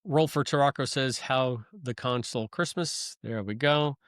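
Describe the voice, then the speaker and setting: A man's voice: mid-range voice, normal speed, fairly bright tone, clean tone, no room sound, clean studio sound. A man co-hosting a podcast in a casual, chatty review style. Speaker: podcast co-host. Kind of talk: casual review chat with recaps.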